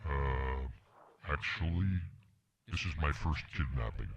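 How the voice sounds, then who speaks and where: An actor playing a hesitant, apologetic first-time kidnapper in a live audio play. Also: male voice, a bass voice, slow, muffled recording, a crowd in the background, exaggerated voice. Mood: sheepish. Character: bumbling inexperienced male kidnapper, sheepish. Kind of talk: live stage audio drama.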